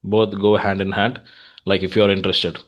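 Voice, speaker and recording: Low-voiced Indian man coaching English. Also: flat delivery, normal speed. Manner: encouraging. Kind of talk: casual conversation with feedback and advice.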